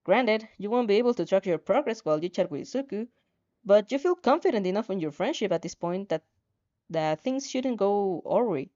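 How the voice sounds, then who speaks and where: A woman's voice: mid-range voice, expressive, a little fast, dark tone, highs cut off. A woman narrating a second-person story expressively, in an informal manner with stumbles over the lines. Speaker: fan fiction reader.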